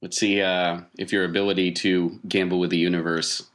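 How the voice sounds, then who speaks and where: A man's voice: low-pitched, little pitch movement, fairly quick, a bit bright. A man acting as game master, putting questions to players in a tabletop role-playing game.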